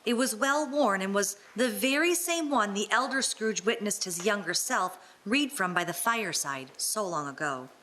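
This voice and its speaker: A woman's voice: mid-range voice, lively intonation, moderate pace, few pauses, pushed and bright. A woman reading scripted narration in a live radio-play storytelling style.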